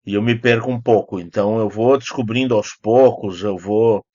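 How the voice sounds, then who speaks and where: A man's voice: lowish voice, fairly even intonation, moderate pace, a little mellow, no echo, lo-fi sound. A Brazilian man talking casually and unscripted as a video host, in a rambling, hesitant way, often correcting himself. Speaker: YouTube host, film critic. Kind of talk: casual unscripted vlog talk, answering comments.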